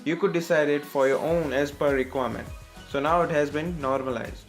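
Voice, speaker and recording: A man with an Indian accent narrating a step-by-step software tutorial as its host, in a scripted style. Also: on the high side, a little fast, faint music behind.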